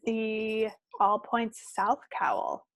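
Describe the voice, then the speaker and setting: Female podcast co-host, casual and rambling, with plenty of vocal fry and a dry, close sound. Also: fairly high pitch, expressive, drawn-out words, no room sound, low-quality recording. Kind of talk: casual chat about projects. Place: recorded at own end of a remote video call.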